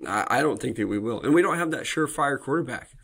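A man's voice: mid-range voice, expressive, fast, creaky, fairly bright tone, slightly rough. A man co-hosting a podcast, chatting casually about football and hedging with fillers. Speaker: podcast co-host. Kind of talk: casual sports chat.

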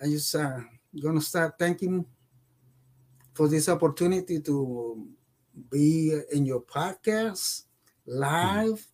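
Podcast guest: male, 60s, Puerto Rican accent, native Spanish speaker, slightly high voice, slow halting delivery. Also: darkish tone. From Puerto Rico. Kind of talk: personal life-story interview.

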